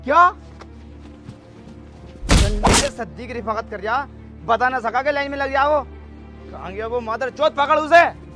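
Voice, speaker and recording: A man acting a hot-headed, boastful village politician in a comedy skit, loud and coarse-tongued. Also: very high-pitched, measured pace, long pauses. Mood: furious. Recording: loud music under the voice.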